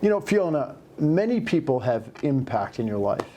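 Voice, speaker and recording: A man leading a corporate interview, asking questions in a measured, encouraging way. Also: a little high, big pitch swings, warm tone, slight background noise. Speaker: company leader interviewing a colleague.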